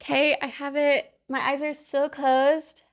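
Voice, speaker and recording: A woman from talks straight to her viewers in a casual book vlog. Her voice sits a touch on the high side, and her manner is chatty and upbeat, full of little asides. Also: flat delivery, a little slow. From Kansas City, US. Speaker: book vlogger. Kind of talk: casual chat to camera.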